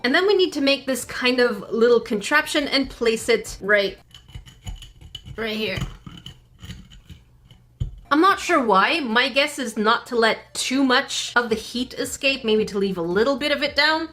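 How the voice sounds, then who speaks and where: A woman's voice: a little high, fairly bright tone. A woman hosting a casual craft-kit test vlog from her home craft room, chatty and playful with jokey asides. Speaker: craft channel host.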